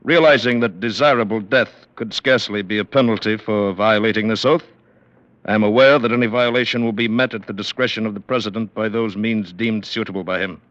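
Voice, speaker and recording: An actor playing a stern, coolly menacing club president, formal and measured, in a vintage radio drama. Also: male voice, on the low side, fairly even intonation, a bit bright, lightly acted. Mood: formal, solemn. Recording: dull, lo-fi vintage radio recording.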